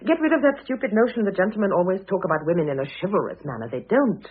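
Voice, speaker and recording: A composed British mistress of the house with a husky, expressive voice. She is brisk and drily ironic, in old-fashioned radio drama style. The sound is narrow and phone-like, like a vintage broadcast. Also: medium pitch. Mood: cool, dry. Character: composed, dry-witted mature mother, mistress of the house.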